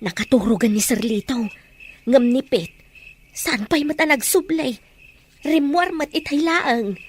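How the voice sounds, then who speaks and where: An actress playing a loyal, nervous household helper in an Ilocano radio drama. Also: female voice, high-pitched, lively intonation, a little slow. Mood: hushed, nervous.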